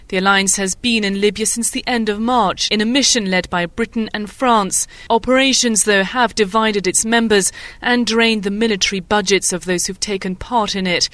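A woman's voice: medium pitch, a bit bright, dry recording. A woman radio correspondent reading a scripted news report.

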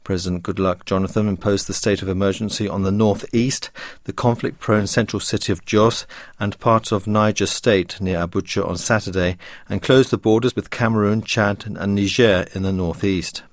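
Low-voiced male radio newsreader. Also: fairly even intonation, moderate pace, no room sound, studio quality. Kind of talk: scripted news bulletin reading.

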